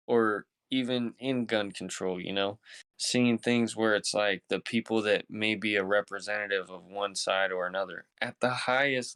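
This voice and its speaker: Male podcast host, low clean voice, close dry sound, slangy casual talk. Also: a bit bright, clear, resonant tone, no echo. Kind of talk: casual chat.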